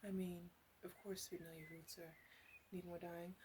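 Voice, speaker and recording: Woman acting as a flirty witch, lowish voice, half-whispered ASMR roleplay, teasing, rambling, a bit bossy, noisy background. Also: little pitch movement, normal speed, dark tone, close to an everyday voice. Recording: clearly audible background noise.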